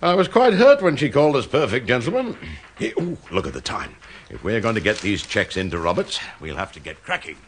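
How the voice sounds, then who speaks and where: An actor playing a blunt, combative, wily old accountant in a radio comedy drama, gruff and sarcastic. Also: male voice, medium pitch, sing-song, fairly quick. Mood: cheeky. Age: older.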